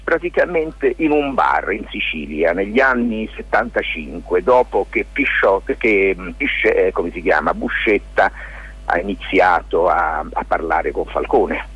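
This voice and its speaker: A man with a fairly deep, rough-edged husky voice answers as a radio interview guest. He talks in a halting, spontaneous way, repeating himself and seeking confirmation as he goes. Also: lively intonation, a bit bright, highs cut off. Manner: halting, circling back with check-in tags like "capito" and "no?". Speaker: lawyer and stage author, interview guest.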